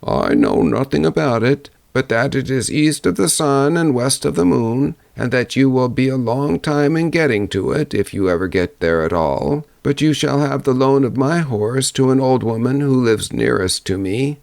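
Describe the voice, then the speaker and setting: Man putting on an aged crone, pitched high and singsong. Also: expressive, moderate pace, creaky, few pauses, darkish tone, no room sound. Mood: helpful, instructing. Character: aged mountain crone, helpful, fairy-tale old woman.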